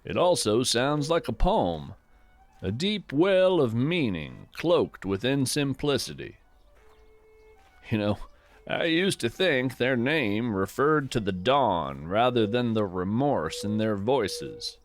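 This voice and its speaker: A man playing a gentle, folksy naturalist who hosts a scripted fiction podcast, musing poetically and with wonder about the woods. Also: mid-range voice, sing-song. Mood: reflective. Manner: reflective, poetic, unhurried musing. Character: gentle, wonder-struck folksy naturalist narrating a woodland walk. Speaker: podcast host.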